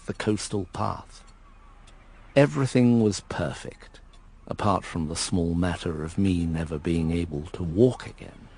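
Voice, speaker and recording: A man's voice: lowish voice, vocal fry, husky, full character voice. An actor playing a wry, reflective injured man who narrates his own story in a radio drama, with an English accent.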